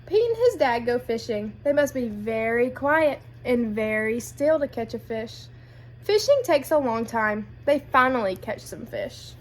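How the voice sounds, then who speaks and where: Female picture-book reader, high lively voice, asks kids guiding questions, light background noise. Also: unhurried. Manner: addresses children with guiding questions. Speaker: read-aloud storyteller. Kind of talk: animated children's picture book narration.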